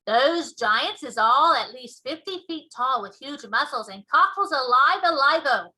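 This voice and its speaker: A woman voicing a big friendly male giant from a children's storybook, folksy and excitable, with muddled grammar and made-up words. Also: high voice, a little slow, heavily acted, rough recording. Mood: boastful, excited. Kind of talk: children's storybook read-aloud.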